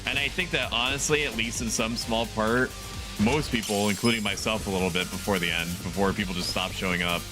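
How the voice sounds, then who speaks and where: A man's voice: on the low side, fairly even intonation, brisk. Chatty man streaming over game music. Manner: rambling, chatty, laughs often. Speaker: VTuber streamer. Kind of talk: casual live-stream gameplay chat. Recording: game music playing under the voice.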